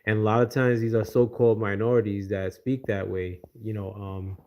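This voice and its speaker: A man from America talking through current events on a livestream in a lowish voice. He speaks haltingly and fills gaps with "uh" and "um". Now and then he breaks off to greet viewers by name. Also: little pitch movement, lo-fi sound, a little hiss or hum. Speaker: livestream host. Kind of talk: casual political commentary.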